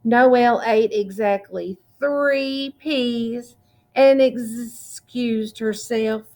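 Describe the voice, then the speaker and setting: Slow, higher-pitched woman reading a storybook. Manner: deliberate and expressive, with drawn-out words. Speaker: children's storytime reader. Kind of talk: read-aloud picture book narration for children.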